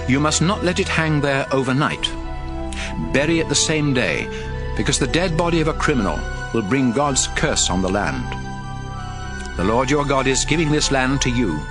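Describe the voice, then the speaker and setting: Animated male scripture narrator over music. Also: medium pitch. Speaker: audio Bible narrator. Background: music under the voice.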